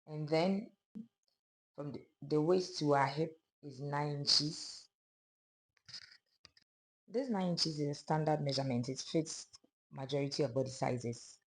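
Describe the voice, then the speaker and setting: A sewing instructor with a hoarse, fading voice, calmly narrating a pattern-drafting tutorial step by step. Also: mid-range voice, unhurried, pauses often, low-quality recording. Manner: calm, step-by-step explaining of measurements. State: hoarse, losing voice.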